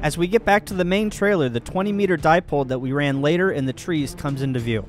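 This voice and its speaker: A man with a slightly high voice narrates a video tour as one of the operators. Faint music plays behind him. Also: fast. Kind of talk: scripted narration and casual on-site tour.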